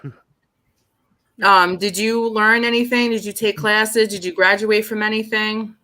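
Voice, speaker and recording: A woman's voice: mid-range voice, steady tone, unhurried, bright, forceful tone. A woman chatting casually in a blunt, informal, slangy way. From New York, United States. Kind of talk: casual chat.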